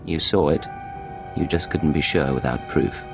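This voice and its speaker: Very deep, gravelly secret agent. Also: male voice, moderate pace. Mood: cool, resolute. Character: cool, unflappable male Imperial secret agent. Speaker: player character in a sci-fi game. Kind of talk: dramatic game voice acting. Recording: dramatic music behind.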